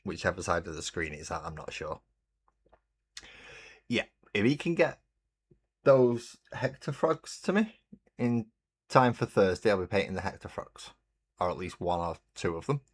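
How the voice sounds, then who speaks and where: A man hosting a relaxed hobby livestream, chatting in a rambling way and greeting viewers while he paints. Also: sits low, moderate pace, pauses often. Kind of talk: casual chat while painting. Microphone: desk mic on a webcam stream.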